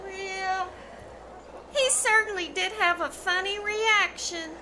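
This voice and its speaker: A woman's voice: very high-pitched, fairly even intonation, slow. A woman playing a perky, confident, slangy teenage girl in an old-time radio comedy. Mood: supportive. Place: small theater stage, live performance. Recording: noisy live stage recording.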